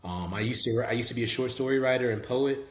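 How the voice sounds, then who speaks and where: A young man from Memphis with a Southern accent, talking relaxed and chatty as a guest on a podcast, with many "um"s and "you know"s. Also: mid-range voice, quick pace. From Memphis, Tennessee. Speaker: guest rapper. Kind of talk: casual podcast interview. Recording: echoey room with faint hum.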